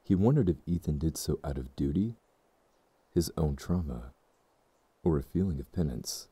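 A man narrating an audiobook in a slow, measured, somber way. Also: extremely low pitch, warm tone, husky, no echo.

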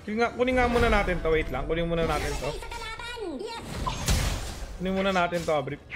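High-pitched excitable Filipino man gaming. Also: a little fast. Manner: excitable, slangy, repeats catchphrases. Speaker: gaming streamer. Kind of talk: live mobile game commentary. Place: indoor gaming setup with headrest chair. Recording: voice over loud game music. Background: game music.

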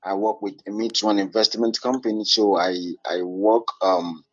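Man, foreign accent, halting, lots of ums, low husky voice, muffled lo-fi call. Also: fairly even intonation, fairly bright tone. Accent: foreign English. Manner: hesitant, many fillers, halting. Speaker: caller. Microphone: online voice call.

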